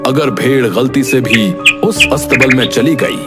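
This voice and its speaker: Loud music sits behind a man acting a wise old monkey leader from a cartoon fable. He speaks formally and gravely at a middling pitch, weighing each phrase like an elder addressing his troop. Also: unhurried, close to an everyday voice. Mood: grave warning. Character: wise, worried old monkey chief, later vengeful. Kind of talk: Hindi animated fable dialogue.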